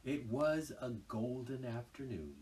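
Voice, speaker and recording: A man reading a children's classic aloud as a storyteller, in an expressive, lively way. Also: medium pitch, measured pace, far from the mic, a little hiss or hum. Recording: voice a little off-mic.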